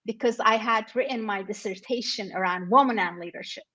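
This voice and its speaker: Middle-aged woman, from Turkey, interview guest, medium pitch, thoughtful, pauses with fillers. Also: highs cut off. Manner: thoughtful, frequent fillers, warm laughs. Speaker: author and guest. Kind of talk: conversational interview.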